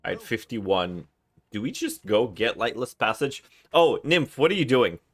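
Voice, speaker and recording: A man's voice: mid-range voice, sing-song, moderate pace, a bit bright. A man from Russia hosting a livestream, chatting casually while he plays, reading chat aloud, swearing and laughing often. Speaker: streamer host.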